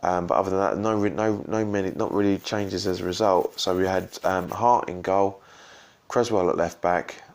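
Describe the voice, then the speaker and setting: Man, deep low voice, slightly croaky, casual rambling vlog talk, lots of restarts. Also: fairly even intonation, moderate pace, a little mellow. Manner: rambling, full of ums and restarts. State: slightly croaky from shouting and drinks. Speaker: football fan vlogger. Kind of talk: casual post-match reaction vlog.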